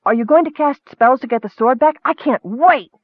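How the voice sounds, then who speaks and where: A man voicing an eager, excitable young male kender who gushes with wonder in an audiobook fantasy tale. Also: very high voice, moderate pace, dry recording, phone-quality sound, pitched above the performer's own voice. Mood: eager pleading. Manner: gushing, breathless rush of questions.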